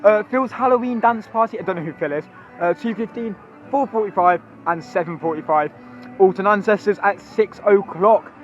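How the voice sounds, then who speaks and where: A hoarse young man, chatty and rambling as he walks through a theme park with his phone. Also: sits high, moderate pace, highs cut off, faint music behind. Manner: chatty, rambling, excitable, many ums. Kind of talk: walking vlog commentary.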